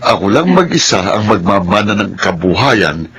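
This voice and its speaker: An actor playing a scheming, greedy adult son, a shifty and smug villain in a melodrama. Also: male voice, fairly low pitch, sing-song, moderate pace, fairly bright tone, husky, exaggerated voice. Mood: gloating. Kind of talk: Filipino melodrama dialogue.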